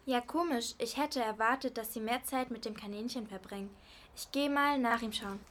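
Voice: medium pitch, moderate pace, fairly bright tone, slight background noise, close to an everyday voice. A female performer playing a shrewd, stern mother in an amateur school radio play. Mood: suspicious.

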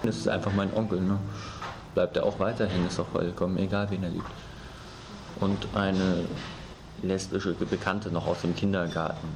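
A man answers a street interviewer casually, often ending a point with a quick tag question. His voice is low. Clear background noise runs under him. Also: flat delivery. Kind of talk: unscripted vox pop answer.

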